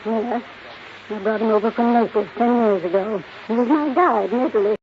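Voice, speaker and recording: A woman's voice: a little high, moderate pace, lightly acted. An actress playing a cranky, bossy, suspicious rich old lady in a 1950s radio drama, blunt and snappish. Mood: grumbling. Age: older. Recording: dull, noisy 1950s radio recording.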